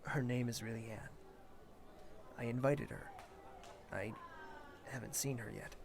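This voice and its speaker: A man playing a composed, earnest young prince in an audio drama, with a measured, formal way of speaking. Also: medium pitch, fairly even intonation, creaky, noisy background. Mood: smitten, hushed.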